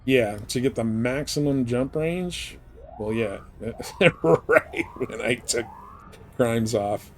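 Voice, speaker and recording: A man's voice: medium pitch, slow pace, faint music behind. A man livestreaming a space game, chatting casually and rambling informally as he thinks aloud. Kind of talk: casual chat while playing a space game.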